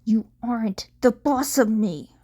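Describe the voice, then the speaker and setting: A woman's voice: fairly high pitch, measured pace, warm tone. A woman reading the part of a hot-headed, surly teenage boy training as a hero, snappy and grumbling. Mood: sullen.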